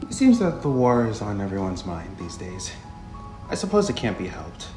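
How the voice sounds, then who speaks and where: A friendly, plainspoken delivery woman, acted by a man in a visual novel. The delivery is chatty and very varied in pitch. It has a roomy echo with music behind it. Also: normal speed. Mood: somber. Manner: expressive, animated. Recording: echoey room under music.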